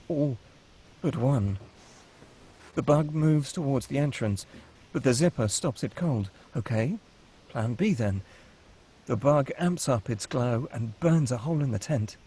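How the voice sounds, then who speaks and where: A man's voice: on the high side, warm tone, husky. A man reading stage directions as the narrator of a screenplay, in a dramatic scripted style.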